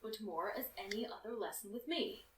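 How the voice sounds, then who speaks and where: A woman's voice: medium pitch, fairly quick, natural voice. A woman playing an adult unicorn mare mentor, mildly stern but reassuring, in a dramatic fan fiction reading. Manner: mildly stern, steady, encouraging.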